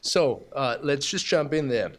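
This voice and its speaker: A man's voice: medium pitch, expressive, a bit bright. Austrian-accented man giving a conference keynote. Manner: halting, many restarts and fillers, self-deprecating humor. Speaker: keynote speaker. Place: conference hall.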